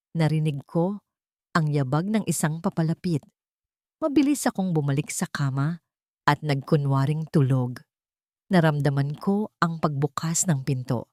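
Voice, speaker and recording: A woman narrating a dramatic first-person audiobook story in a measured, somber way. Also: sits low, mellow, no echo, clean studio sound.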